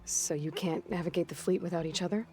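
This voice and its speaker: A woman's voice: fairly low pitch, steady tone, quick pace, mellow, natural voice. An actress playing a capable young female adventurer in a video game, speaking in a direct, understated, questioning way. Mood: curious. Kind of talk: video game cutscene acting. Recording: music behind the dialogue.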